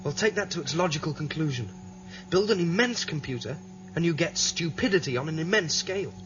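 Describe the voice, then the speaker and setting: A man's voice: a little high, lively intonation, brisk, a bit bright, slight background noise. An actor playing an older man leading a break-in, explaining plans with hesitant restarts. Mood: tense. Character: older man leading an escape, calm planner. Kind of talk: radio drama acting. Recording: dull lo-fi radio drama with music.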